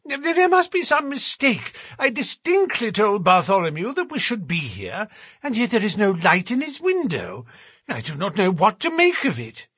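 A man voicing a fussy, anxious, genteel gentleman with a plaintive, fretful manner in an audiobook story. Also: huge pitch swings, normal speed, slightly rough, dry recording, heavily acted. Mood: anxious, puzzled. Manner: high-pitched, fretful, genteel phrasing.

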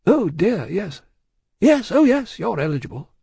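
A man voicing a discreet, anxious elderly bank man from a classic novel, polite, hesitant and measured. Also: sits high, sing-song, gravelly, dark tone, husky, no room sound, studio quality, voice pushed up, full character voice. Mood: placating. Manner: hesitant, courteous, measured, fussy. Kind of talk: acted audiobook dialogue from a classic novel.

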